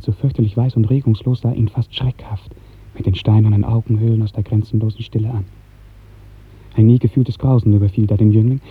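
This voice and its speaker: A man narrating a literary radio drama in 1950s style, with measured, expressive storytelling. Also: medium pitch, breathy edge. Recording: muffled, tinny 1950s radio recording.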